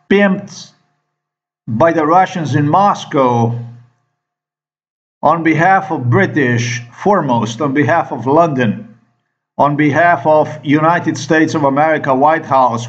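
Man, Slovenian accent, slow halting delivery, emphatic, profane, unscripted monologue, muffled lo-fi sound. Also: a little high. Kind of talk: unscripted monologue commentary over screen recording. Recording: dull lo-fi microphone sound.

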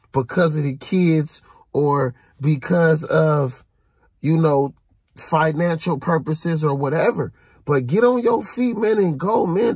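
A man in his thirties talking casually to his phone inside a car, animated and preachy, repeating himself and using street slang. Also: on the high side, gravelly, husky, no echo. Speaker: vlogger. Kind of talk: casual rant to camera. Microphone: phone held low at arm's length.

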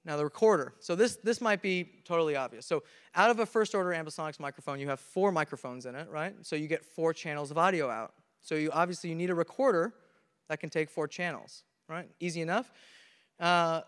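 A young man speaks into a handheld mic on a conference stage, earnest and methodical as he explains, often restarting mid-sentence. His voice sits a bit high and comes across smooth and resonant. The long reverb of a large hall hangs behind every phrase. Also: a little fast, fairly bright tone. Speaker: conference presenter. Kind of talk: technical talk on stage.

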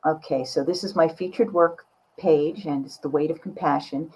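A woman in her sixties chatting casually and expressively on a video call, with a slightly husky voice. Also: deep voice, normal speed, low-quality recording. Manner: expressive, exclaiming. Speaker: guest artist. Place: small indoor studio room with bookshelves.

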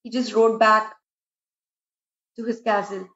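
A middle-aged woman tells a story for children in a dramatic, expressive way, with emphatic repetition. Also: a little high, slow. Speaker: storyteller, host. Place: small room indoors at home. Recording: roomy, slightly dull home recording.

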